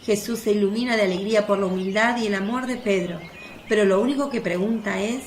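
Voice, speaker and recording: A woman's voice: medium pitch, fast. A woman narrating a religious radio drama in a descriptive, literary reading style. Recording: faint background noise of birds and crickets.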